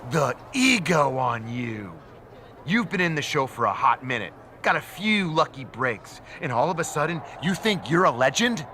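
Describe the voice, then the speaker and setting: An actor playing a snide, sarcastic backstage antagonist in a scripted wrestling game cutscene. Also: male voice, on the high side, big pitch swings, moderate pace, sharp tone, slight background noise. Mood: scornful.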